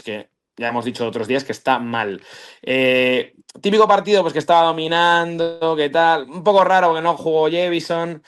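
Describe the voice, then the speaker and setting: A man's voice: a little high, clean tone. A man, chatting casually on a football podcast, rambling with slang and restarts. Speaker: podcast co-host.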